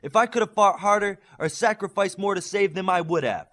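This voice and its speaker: A proud, fierce superhero warrior played by a male narrator speaks as an impassioned leader. The voice is raised high above an ordinary reading tone and pushes hard with forceful oratory, as in a dramatic audiobook. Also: fairly quick. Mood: fierce, rallying. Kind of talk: audiobook character acting.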